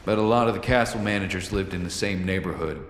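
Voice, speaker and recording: An actor playing a tough, wary, pragmatic armed operative in a video game, speaking in a measured, hard-edged and persuasive way. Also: male voice, deep voice, a little hiss or hum, lightly acted. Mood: weary explaining. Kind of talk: video game cutscene acting. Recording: echoey hall with long reverb, music behind.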